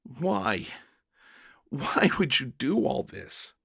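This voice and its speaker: Man playing emotional jailer, bewildered storyteller, highly animated pitch, unhurried, dry close sound. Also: creaky, slightly rough, no room sound, heavily acted. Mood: pleading, overwhelmed. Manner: stammering, blurting, dramatic. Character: young-hearted jailer narrator, bewildered, earnest, emotional father. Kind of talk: first-person dramatic story reading.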